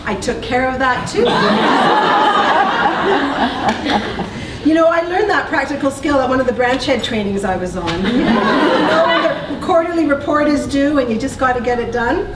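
A woman's voice: high-pitched, animated, a little slow. A woman voicing a supportive, chirpy coworker puppet in an amateur show, playful and exclamatory. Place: puppet stage in an auditorium, recorded from audience. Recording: echoey hall from far off, noisy audience.

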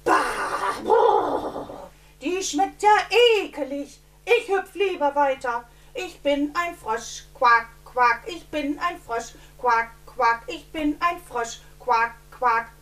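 An older woman voicing a curious, excitable little frog in singsong, exclaiming interactive storytelling for children. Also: high voice, slow pace, full character voice. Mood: disgusted. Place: indoors, seated before a painted backdrop. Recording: slightly distant from the mic.

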